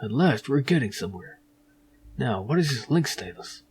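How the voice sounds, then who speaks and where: A man's voice: medium pitch, expressive, normal speed, darkish tone, a little hiss or hum, close to an everyday voice. A man voicing a matey, eager insurance salesman in an adventure game, tagging his lines with a questioning turn. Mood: officious, helpful. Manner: matey, tags sentences with questioning "yeah". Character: chatty, eager male insurance salesman named Billy Anker.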